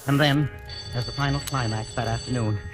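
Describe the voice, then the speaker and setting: An actor playing a timid, henpecked husband in a 1940s radio drama, with a hesitant, flustered manner. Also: male voice, medium pitch, steady tone, brisk, natural voice, rough recording. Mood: contented. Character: timid, henpecked husband, a nervous dreamer telling his tale. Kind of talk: 1940s radio acting, first-person narration and dialogue. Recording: old radio broadcast with music.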